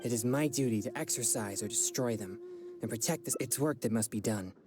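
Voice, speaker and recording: A man's voice: medium pitch, brisk, natural voice. Earnest, formal young exorcist, played by a man, music behind. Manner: formal, courteous, apologetic. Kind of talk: video game voice acting.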